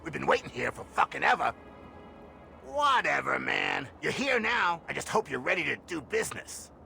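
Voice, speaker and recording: A very gravelly, sharp-edged slaver from a video game, surly and distrustful. He is brash and impatient, pitched on the high side. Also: big pitch swings, moderate pace, soft background music, heavily acted. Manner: brash, irritated, blunt. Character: impatient foul-mouthed slave trader man, surly and distrustful. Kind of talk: video game character dialogue.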